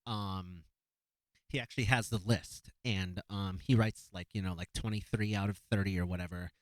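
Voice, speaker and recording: A young man with a naturally low voice picks apart a performance in easy, halting commentary, full of "um" and restarts. The sound is dry and close, with no room around it. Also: no echo, rough recording. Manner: relaxed, hesitant, many fillers and restarts. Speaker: beatbox battle analyst. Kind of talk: casual commentary over a video.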